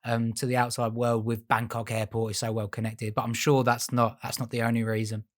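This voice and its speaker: A man hosting a casual podcast interview, relaxed and agreeable, asking questions with "um"s and restarts. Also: mid-range voice, fairly quick. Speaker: podcast host.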